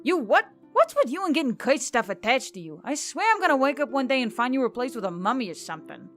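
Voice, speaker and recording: A brash centaur woman, blunt and teasing, acted out by a woman narrator. Her voice is raised in pitch, over faint music. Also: very animated, fast talker, fairly bright tone. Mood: exasperated. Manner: blunt, sweary, exasperated.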